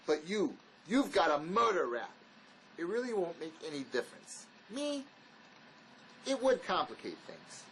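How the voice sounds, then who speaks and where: Guy acting wary escaped con, high animated voice, blunt and argumentative, drama dialogue. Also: a little slow, slight background noise, heavily acted, rough recording. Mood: cautious, insistent. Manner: argumentative, insistent. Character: wary, blunt male fugitive convict, argumentative and pragmatic. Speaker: actor.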